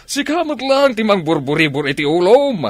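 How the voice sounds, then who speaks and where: A man's voice: high-pitched, big pitch swings, moderate pace, no room sound. A man with an Ilocano accent playing an irritable, evasive philandering husband in a radio drama. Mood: irritated.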